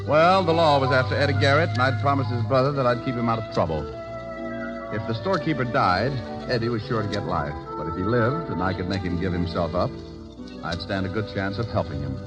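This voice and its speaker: A man's voice: mid-range voice, fairly quick, lightly acted, rough recording. An American man playing a wry, world-weary, wisecracking private detective in a 1940s-style radio drama. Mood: tense narrating. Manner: wry, hard-boiled. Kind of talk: 1940s radio detective drama acting with first-person narration. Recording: old radio recording with organ music.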